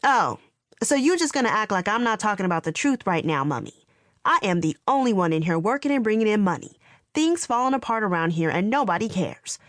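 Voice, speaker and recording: A woman voicing a resentful middle-aged aunt who complains and confronts in a sharp, raised voice. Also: medium pitch, very animated, fairly quick, no echo, clean studio sound, close to an everyday voice. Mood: angry complaining.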